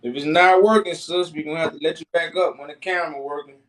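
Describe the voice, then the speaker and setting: A man's voice: a little high, steady tone, fast talker, a bit bright, poor audio. A man hosting a live call-in debate stream, repeating phrases for emphasis as he talks with callers.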